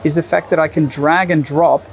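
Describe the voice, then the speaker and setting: A man's voice: on the high side, steady tone, normal speed, gravelly, a little hiss or hum. A man giving an enthusiastic product demonstration, with music playing under his voice.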